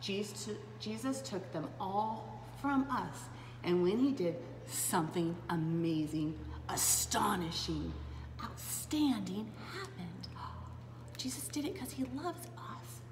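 A woman in her thirties reading a children's Bible story aloud to preschoolers in an animated, dramatic way. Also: medium pitch, slow pace. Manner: animated and dramatic, with gasps, hushed asides and questions to young listeners. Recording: roomy, echoing space with clear background noise.

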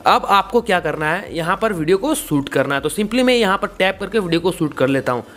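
A man's voice: high-pitched, lively intonation, fairly quick, fairly bright tone. A man in his twenties with an Indian accent, hosting a casual step-by-step tutorial in a repetitive, instructional way. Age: 20s. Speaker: YouTube tutorial host.

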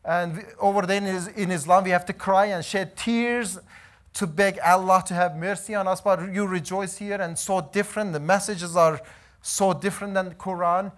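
A man's voice: high-pitched, normal speed. Man preaching a testimony. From Iran. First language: Azeri. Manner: animated storytelling, gesturing while pacing. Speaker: missionary preacher. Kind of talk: missionary testimony in church. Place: church hall, indoors.

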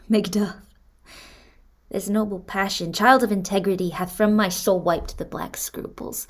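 An actress playing a guarded young exiled prince in a Shakespearean radio drama, with measured, declamatory verse. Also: female voice, medium pitch, steady tone, lightly acted. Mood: earnest, relieved.

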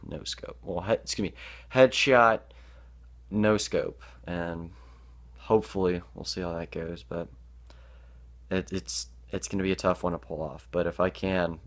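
A man's voice: deep voice, fairly bright tone. A teenage boy vlogging to his webcam in a small bedroom, hesitant with many ums, sounding stuffed up from allergies. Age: teens. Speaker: gaming YouTuber. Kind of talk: casual vlog to camera.

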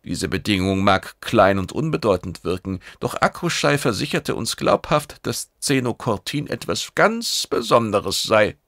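A man's voice: fairly low pitch, sing-song, a little slow, few pauses, a bit bright, no echo, clean studio sound, full character voice. A man voicing a stern, impatient alien commander in a science fiction audiobook, formal, guarded and emphatic. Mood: explaining, emphatic.

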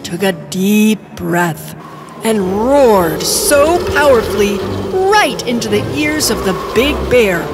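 A woman narrating a children's bedtime story, expressive and storytelling in tone. Her voice sits a bit high and moves in a lively way, over clear music. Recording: music bed behind.

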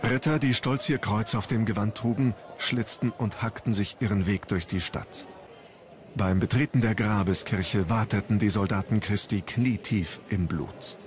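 A man reading scripted documentary narration as a narrator. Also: lowish voice. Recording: loud music under narration. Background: loud background music.

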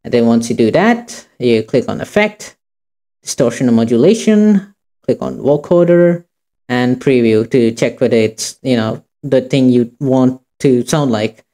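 Mellow-voiced casual man hosting a tutorial. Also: mid-range voice, expressive, a little slow. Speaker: tutorial host. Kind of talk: informal screen-recorded tutorial.

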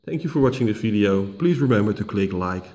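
A man who is not a native English speaker, walking through a tablet reset step by step, unhurried and full of "uh" fillers and restarts, recorded at home on a camera mic. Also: lowish voice, expressive, a little mellow. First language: not English, picks Dutch. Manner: unhurried, many uh fillers, self-corrections. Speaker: tech tutorial host. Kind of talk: slow step-by-step screen walkthrough. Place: home dining room, indoors.

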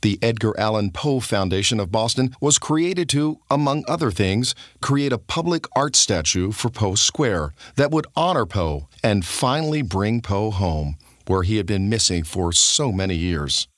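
A man's voice: fairly low pitch, lively intonation, unhurried, hardly any pauses, no echo, clean studio sound. A man reading scripted documentary-style podcast narration.

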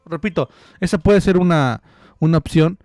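A man's voice: on the high side, normal speed, a little mellow, no room sound. A young man giving a rambling, joking football analysis into a close studio mic in a small room. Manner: rambling, joking, many restarts. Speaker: football commentator. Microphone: studio mic close up with headphones.

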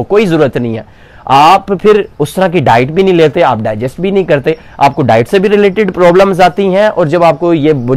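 A man's voice: on the high side, darkish tone, dry recording. Young Pakistani Punjabi-accented man in his 20s; chatty, explanatory coach; lapel mic outdoors, dry. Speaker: fitness coach and bodybuilder. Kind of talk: casual talk to camera. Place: outdoors in front of a brick wall. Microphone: clip-on lapel mic.

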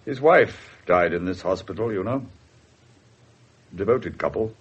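An actor playing a stiff, formal senior English police official in a radio drama. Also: male voice, sits low, expressive, a little slow, slightly rough, exaggerated voice. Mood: grave, shocked. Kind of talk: 1970s British radio drama acting. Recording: muffled 1970s radio broadcast.

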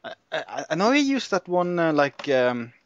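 A man's voice: on the high side, sing-song, normal speed, no room sound. A man chatting casually and hesitantly as he plans a video, on a somewhat dull recording. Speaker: video creator. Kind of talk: casual chat while planning a video.

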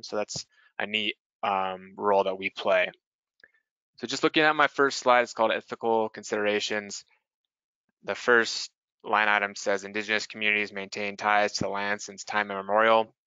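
A man presents in a webinar in an earnest, measured way, with a few fillers. His lowish voice is bright and crisp. The audio is dry and slightly dull, like a video-call mic. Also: fairly even intonation, no room sound. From US Pacific Northwest.

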